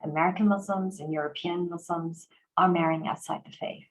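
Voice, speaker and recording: A woman host in a relaxed conversation, telling stories animatedly with quick fillers and restarts. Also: lowish voice, poor audio. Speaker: organization president and host. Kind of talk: conversational interview.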